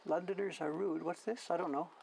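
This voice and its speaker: Gravelly older Canadian man, chatting. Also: fairly high pitch, fairly even intonation, fairly quick, darkish tone, a little hiss or hum, poor audio. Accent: generic Canadian. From Canadian prairies, born in England. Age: 70s. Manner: rambling, musing, self-questioning. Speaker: printmaker hosting a studio stream. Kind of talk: casual reminiscing chat while working. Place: workshop.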